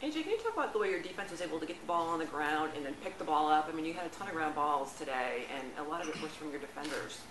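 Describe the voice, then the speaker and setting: A woman reporter asking rapid questions at a press conference, with restarts. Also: deep voice, expressive. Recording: roomy echo with room noise.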